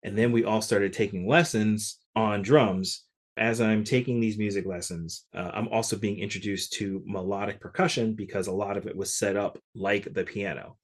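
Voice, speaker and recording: A fairly low-pitched man from Connecticut, speaking as a podcast guest. He talks loosely and conversationally, sprinkling his stories with casual fillers. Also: normal speed. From Connecticut, USA. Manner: relaxed, conversational, many "like" fillers. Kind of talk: casual interview storytelling.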